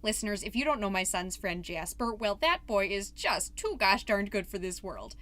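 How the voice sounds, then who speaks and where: A woman's voice: medium pitch, animated, moderate pace, pushed and bright. A woman playing a chatty, wholesome suburban mom podcaster, doting and easily exasperated, in a scripted comedic monologue. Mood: fond, fussy. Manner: chatty, dramatic, folksy minced oaths.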